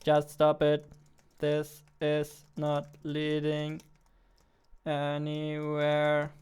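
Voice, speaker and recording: A man, chatting casually on a livestream while he works, thinking aloud in a halting way. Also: on the high side, monotone, a little mellow, clear, resonant tone. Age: 20s. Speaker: game developer and streamer. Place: indoor room at a computer, keyboard clicks behind.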